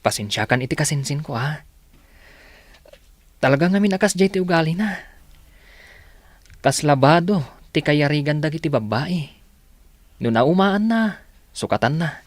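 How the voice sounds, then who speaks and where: A man's voice: fairly high pitch, animated, moderate pace, pauses often, no room sound. A man playing a decent, earnest young villager in a radio drama, speaking with an Ilocano accent. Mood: sympathetic, earnest. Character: decent, sympathetic young man, disapproving of his womanizing cousin.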